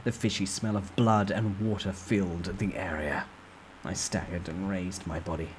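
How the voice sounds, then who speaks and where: A man with a low voice narrates a fantasy tale in the first person. He reads dramatically and with suspense, like a storyteller at work. There is some background noise on the recording. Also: steady tone, normal speed, rough recording.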